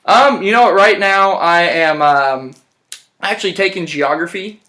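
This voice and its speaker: Brief: young man, slightly high voice, relaxed rambling talker, slangy and filler-heavy, phone camera in a hospital room. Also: unhurried. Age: young adult. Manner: laid-back, rambling, slangy with lots of fillers. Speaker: interviewee. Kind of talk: casual chat. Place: hospital room, lying in bed.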